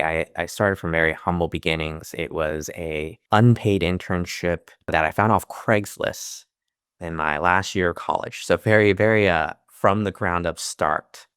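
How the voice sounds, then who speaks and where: A man in his thirties with a low, smooth, resonant voice, speaking earnestly as an interview guest. He grew up in America speaking Chinese at home first, and he often restarts his phrases. The sound is dry and close. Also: a bit bright, no echo. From United States. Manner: earnest, with frequent restarts and fillers. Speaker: financial advisor, interview guest. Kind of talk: interview answers.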